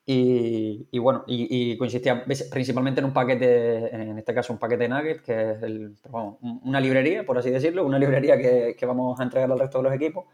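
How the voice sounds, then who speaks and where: A man's voice: medium pitch, darkish tone. A man chatting casually as a podcast co-host, thinking aloud with frequent restarts. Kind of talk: casual chat.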